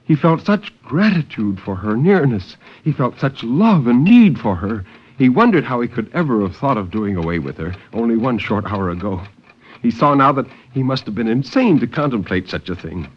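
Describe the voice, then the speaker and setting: A man giving a dramatic, deliberate and intense reading of suspense fiction in 1950s radio style. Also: medium pitch, sing-song, husky. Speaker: story narrator. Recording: muffled, noisy 1950s radio recording.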